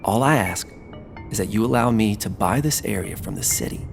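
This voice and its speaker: A man playing a slick, scheming businessman in an audio drama, smooth-talking and persuasive. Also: fairly low pitch, brisk, over music. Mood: coaxing.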